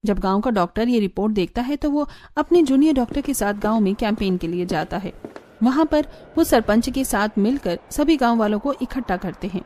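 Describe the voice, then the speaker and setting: A woman's voice: on the high side, lively intonation, fairly quick, mellow, a little hiss or hum. A woman giving scripted narration for a cartoon story.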